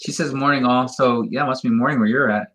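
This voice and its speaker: A man's voice: mid-range voice, steady tone, quick pace, fairly bright tone. A man hosting a livestream, chatting in a friendly, enthusiastic way. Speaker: livestream host. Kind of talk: casual livestream chat.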